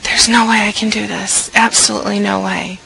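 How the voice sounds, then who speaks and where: A woman's voice: medium pitch, flat delivery, a little fast, vocal fry, pushed and bright, husky, exaggerated voice, poor audio. A young woman playing a nervous teen girl left home alone, talking anxiously to herself and often whispering. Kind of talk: amateur horror skit acting.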